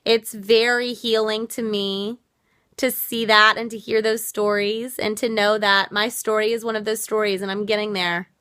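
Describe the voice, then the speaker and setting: A woman's voice: mid-range voice, level tone, normal speed, a bit bright, clear, resonant tone, no echo, clean studio sound. A woman from the United States hosting a casual live stream, earnest and reflective as she answers viewer comments, her voice worn out. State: losing her voice. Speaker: livestream host.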